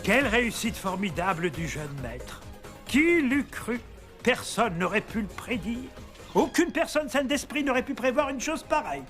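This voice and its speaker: A man voicing a pompous, teasing, long-winded commentator in an animated film. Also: very high voice, moderate pace. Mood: amazed, mocking. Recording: loud music and crowd noise behind.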